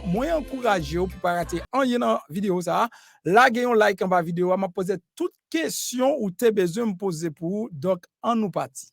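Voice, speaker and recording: A man from Haiti hosting an online interview, speaking haltingly with many fillers and restarts. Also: sits high, creaky, no echo, soft background music. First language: Haitian Creole. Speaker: YouTube host interviewing a lawyer. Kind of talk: casual interview.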